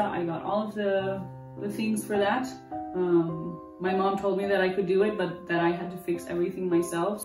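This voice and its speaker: American woman in her twenties, roomy and distant. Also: mid-range voice. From United States. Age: 20s. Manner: candid, rambling, full of restarts. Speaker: interviewee. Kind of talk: casual interview storytelling. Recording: roomy distant mic with music. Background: guitar music.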